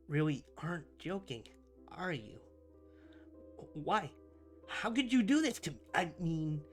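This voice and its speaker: A man playing a needy but kind-hearted supervillain in a roleplay ASMR monologue, speaking to the listener in a dramatic, earnest, halting way. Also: high voice, exaggerated voice. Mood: alarmed, pleading. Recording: music behind the voice. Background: background music.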